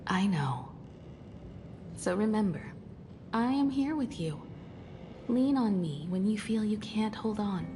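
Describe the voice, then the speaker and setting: Poised, slow, expressive mature woman. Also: medium pitch, noisy background. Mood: reassuring. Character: poised, devoted mature woman, calm and reassuring. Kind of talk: anime-style game voice acting.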